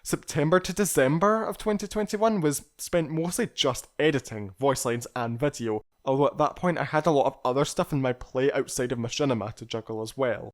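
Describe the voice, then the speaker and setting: A man's voice: mid-range voice, fairly quick, hardly any pauses. A man narrating a scripted personal retrospective as a video creator, speaking in a candid, reflective and articulate way.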